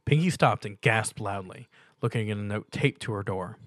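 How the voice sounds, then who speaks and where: A man's voice: fairly low pitch, moderate pace, no room sound, studio quality. A man reading a piece of fan fiction aloud as dramatic story narration.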